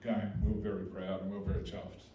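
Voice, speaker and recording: An Australian man with a booming voice presents at a community meeting, talking haltingly with many fillers and restarts. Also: completely flat delivery, mellow, lo-fi sound, a little hiss or hum. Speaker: mining company representative. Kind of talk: community information session presentation. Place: public meeting hall. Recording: roomy hall recorded from a distance.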